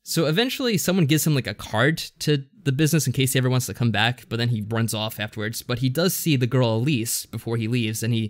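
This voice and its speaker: A man's voice: medium pitch, quick pace, few pauses. A man co-hosting a podcast, recapping things in a casual, hesitant, rambling way. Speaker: podcast co-host. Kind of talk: casual discussion recapping a visual novel.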